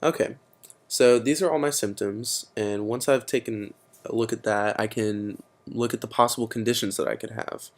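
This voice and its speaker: A man's voice: fairly low pitch, fairly even intonation, normal speed. A teenage boy presenting a casual computer tutorial, explaining steps with frequent fillers. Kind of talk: screen-recorded computer tutorial.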